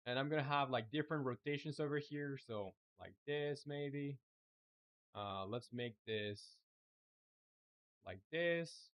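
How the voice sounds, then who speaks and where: A man's voice: mid-range voice, slow pace, pauses often. A young man from Chile whose first language is Spanish, talking casually and thinking aloud while building his game on a live stream. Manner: relaxed, thinking aloud with many fillers.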